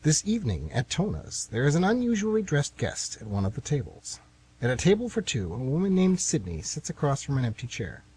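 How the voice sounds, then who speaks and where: Male narrator, husky voice, lively intonation, mid pitch, scripted radio theatre. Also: brisk, low-quality recording. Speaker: narrator and announcer.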